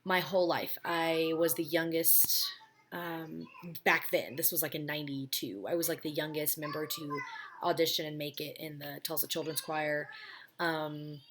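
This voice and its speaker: A woman in her thirties with a raspy, low voice, chatting casually at home about her life and answering viewer questions with many ums. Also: steady tone, moderate pace, noisy background. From United States. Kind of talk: casual chat. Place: home, child heard playing in background.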